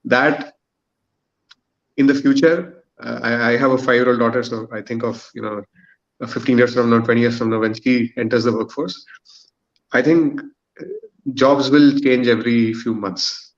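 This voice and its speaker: A man's voice: mid-range voice, steady tone, moderate pace, long pauses. Guy in his 30s on a podcast panel, muffled lo-fi sound, explains stuff point by point, hesitates a lot. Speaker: podcast guest. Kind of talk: thoughtful panel discussion answer.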